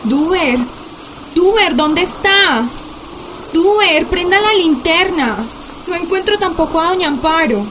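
An actress playing an anxious young law-firm secretary in a radio drama, speaking with a Colombian accent. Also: female voice, extremely high pitch, expressive, unhurried, a bit bright, slight background noise, exaggerated voice, poor audio. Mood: frightened.

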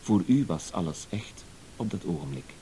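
An actor playing a cool, self-assured professional blackmailer in a radio drama, terse and matter-of-fact. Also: male voice, low voice, animated, normal speed, dark tone, low-quality recording. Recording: hissy old radio play recording.

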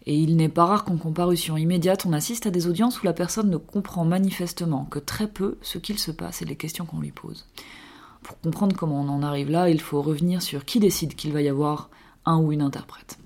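Woman, low voice, measured delivery, scripted radio column. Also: steady tone, a little mellow.

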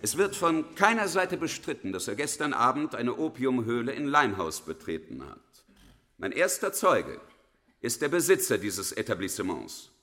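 Bright, declamatory courtroom prosecutor. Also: male voice, medium pitch, big pitch swings, normal speed. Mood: solemn, declamatory. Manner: declamatory, formal, probing. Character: formal, theatrical male Victorian public prosecutor. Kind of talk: German radio courtroom drama acting.

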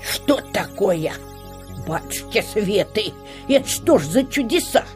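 A woman's voice: mid-range voice, animated, measured pace, darkish tone, soft background music, close to an everyday voice. An actress playing a sly, fussy old witch with rustic, exclaiming speech in a children's audio play. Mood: astonished, indignant. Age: older.

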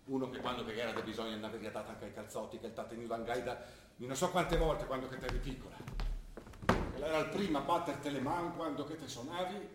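Actor as a gruff Trentino father, roomy sound. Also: medium pitch, fast talker, noisy background, natural voice. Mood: scolding. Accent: Trentino, northern Italian. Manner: scolding, colloquial. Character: gruff, reproachful old-fashioned provincial father. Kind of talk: stage drama acting in dialect. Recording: roomy theatre sound, faint music. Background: faint music.

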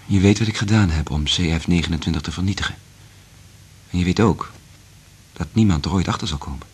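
Deep, husky, brooding male scientist. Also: fairly quick, darkish tone. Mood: tender, resolute. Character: earnest male scientist in love, resolute and brooding. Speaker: radio drama actor. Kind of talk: Dutch radio play acting.

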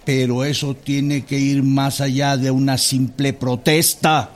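A man's voice: mid-range voice, flat delivery, unhurried, close to an everyday voice. A man with a Mexican accent playing an earnest, resolute political leader in a radio drama. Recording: loud crowd behind the voice.

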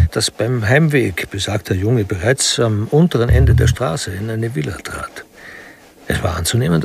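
An actor playing a wry storyteller, narrating a literary crime radio drama with deliberate pauses and a gravelly voice. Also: male voice, mid-range voice, darkish tone. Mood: wry, suspenseful.

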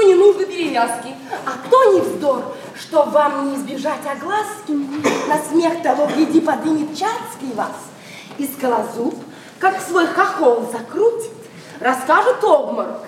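Proud, impulsive young lady in love; very high voice, emotional verse delivery, roomy distant sound. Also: animated, a little slow. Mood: anxious, defiant. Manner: emotional, theatrical, openly confiding. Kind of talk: classic Russian stage verse, radio play acting. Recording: roomy distant radio play, faint noise.

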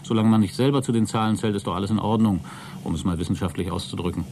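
A man's voice: on the low side, quick pace, darkish tone, slight background noise, natural voice. A man acting a sceptical, sardonic astronaut in a German radio play, with a dry, matter-of-fact delivery. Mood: cynical. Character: sceptical, sardonic male astronaut on a lonely spaceflight.

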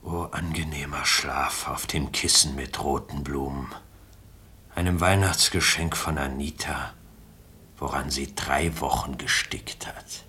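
Radio drama: complacent dozer, very deep voice with a crisp bright edge, languid, breathy, poetic monologue. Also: male voice, fairly even intonation, a little slow, exaggerated voice. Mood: languid, contented. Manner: smug, languid, partly whispered. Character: complacent, drowsy bourgeois sleeper savouring his comfort.

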